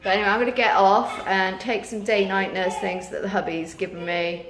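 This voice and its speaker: British woman, thirties, mid pitch, casual rambling chat, tired, sore-throated and losing voice, echoey noisy recording. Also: level tone, a little fast, a bit bright. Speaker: vlogger, mother. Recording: roomy echo, clear background noise.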